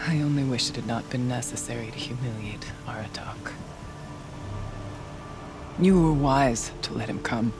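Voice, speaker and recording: An actress playing a proud, devout middle-aged female shaman in a video game, with solemn, measured, formal speech. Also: very deep voice, over music. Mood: grateful, reflective. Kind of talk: dramatic video game acting.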